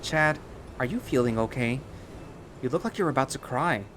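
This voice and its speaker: A man's voice: medium pitch, lively intonation, normal speed, a bit bright, slight background noise, natural voice. A man voicing a devoted, chipper male furry creature who calls someone master. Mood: gentle. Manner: chipper, pleading.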